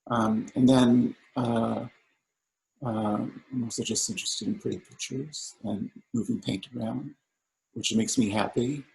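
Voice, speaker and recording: A man who grew up speaking Samoan, an artist answering panel questions in a halting way with many fillers and restarts. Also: medium pitch, fairly even intonation, gravelly, a little mellow, rough recording.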